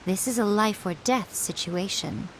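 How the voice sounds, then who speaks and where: A woman voicing a sly fox-spirit shrine priestess in a video game, with a silky, mocking, unhurried delivery. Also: medium pitch, a little hiss or hum, close to an everyday voice, rough recording. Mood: probing.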